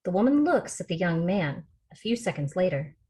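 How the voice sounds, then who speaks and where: A woman's voice: on the low side, big pitch swings, moderate pace, darkish tone. A woman reading scripted screenplay narration as the stage-direction reader on a video call.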